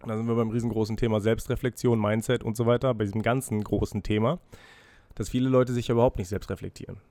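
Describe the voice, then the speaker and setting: Ein erkälteter Mann spricht frei und nachdenklich in seinem Podcast, reflektiert über sich selbst, mit Zögern und Füllwörtern. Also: male voice, on the low side, fairly even intonation, fast, mellow, no echo. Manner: freely spoken, musing, self-reflective with hesitations. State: has a cold, breathing heavily. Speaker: podcast host. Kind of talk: casual solo podcast monologue.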